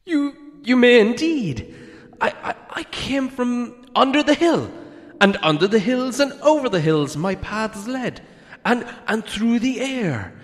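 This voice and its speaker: A man voicing a small, nervous hobbit burglar in a storybook, thin-voiced, stammering a little and speaking in theatrical flattery and riddles. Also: extremely high pitch, unhurried, big-room sound, voice pushed up, heavily acted. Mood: nervous, riddling. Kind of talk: dramatic storybook character reading.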